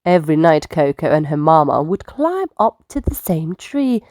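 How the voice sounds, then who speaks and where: A woman reading bedtime stories for children in an expressive, theatrical way with deliberate phrasing. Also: deep voice, dry recording, studio quality. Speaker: children's story reader. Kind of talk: expressive bedtime storybook narration.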